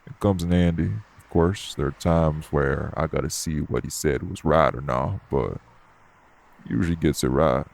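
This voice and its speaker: A man playing a gruff, blunt, unbothered club worker with streetwise slangy talk in an audio roleplay. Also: a bass voice, normal speed, background noise. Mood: casual, teasing. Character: gruff, blunt, unbothered tough guy working at a nightclub. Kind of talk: audio roleplay acting addressed to the listener.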